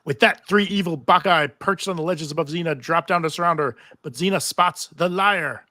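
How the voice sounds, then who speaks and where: A man's voice: fairly high pitch, moderate pace, bright, forceful tone, no room sound, studio quality. A man narrating stage directions in a live table read of a TV script.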